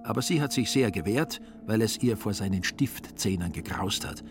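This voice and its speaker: A man's voice: on the low side, animated, normal speed. A man narrating a literary radio play in a dry, ironic storytelling manner. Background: music.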